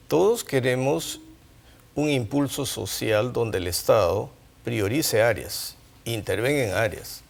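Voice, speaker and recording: A man's voice: medium pitch, creaky. A man with a Peruvian accent giving emphatic opinions as a panelist on a political talk show.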